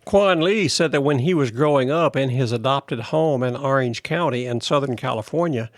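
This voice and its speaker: A man hosting a podcast, reading a news article aloud in a steady, plain delivery. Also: medium pitch, normal speed, dry recording, studio quality. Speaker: podcast host.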